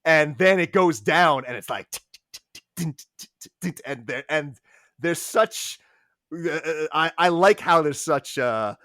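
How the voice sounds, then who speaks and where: Higher-voiced man, animated, dry sound. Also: unhurried, no room sound. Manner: animated, sings and mimics sounds to illustrate. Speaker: audio drama composer. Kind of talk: casual interview answers.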